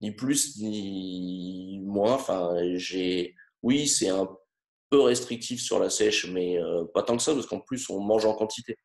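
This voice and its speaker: A man in his thirties telling his story in a casual interview, pausing often with fillers. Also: deep voice, vocal fry, a little mellow. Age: 30s. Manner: hesitant, many fillers. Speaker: coaching client. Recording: roomy echo.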